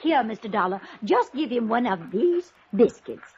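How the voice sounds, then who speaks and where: A woman's voice: fairly high pitch, sing-song, moderate pace, a little mellow, husky, heavily acted. A woman playing a wealthy, doting society lady in a 1950s radio drama, effusive, fluttery and cooing. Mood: coaxing. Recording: muffled vintage radio, faint noise.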